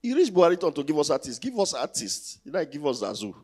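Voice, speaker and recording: A man's voice: fairly high pitch, big pitch swings, fast talker, a little mellow, slightly rough. A man in his thirties with a Nigerian accent doing conversational, teasing stand-up comedy on stage, putting rhetorical questions to the crowd through a handheld mic. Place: large indoor theatre stage. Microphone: handheld stage microphone.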